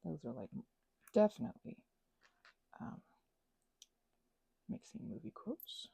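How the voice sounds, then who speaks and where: A woman's voice: medium pitch, sing-song, slow pace, creaky, warm tone, breathy edge. A woman chatting casually in an ASMR craft ramble, whimsically talking to herself and often drifting into whispers.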